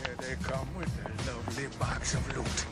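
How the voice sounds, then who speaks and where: An actor playing an excitable, uneasy accomplice in a robber gang in a radio drama. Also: male voice, mid-range voice, normal speed, over loud music, lightly acted. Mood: sly, reassuring.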